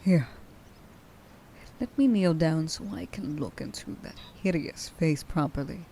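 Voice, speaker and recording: A woman playing a stern, vengeful werewolf huntress in an ASMR roleplay, speaking to the listener with deliberate contempt and menace, often slipping into a breathy whisper. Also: low-pitched, expressive, mellow, a little hiss or hum. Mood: cold menace. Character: stern, vengeful female werewolf huntress, grieving a lost friend.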